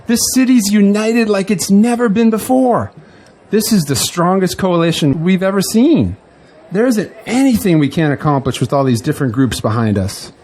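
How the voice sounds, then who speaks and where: An actor playing an exuberant, grandiose newly elected politician in an audio drama, with an excitable, speechifying manner. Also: male voice, high voice, normal speed. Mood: elated.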